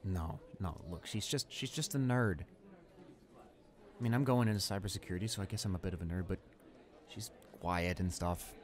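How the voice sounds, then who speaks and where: A man's voice: deep voice, moderate pace, close to an everyday voice. A young man playing an arrogant, irritable student bully in an improvised audio roleplay, with a smug, dismissive manner. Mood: conflicted. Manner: smug, dismissive, muttering to himself.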